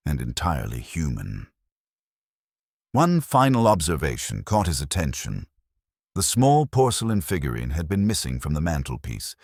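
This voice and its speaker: A man with an extremely deep voice narrates a scripted mystery story. His intonation moves about a good deal within a measured, literary delivery. The sound is close and dry, with little room to it. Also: no room sound.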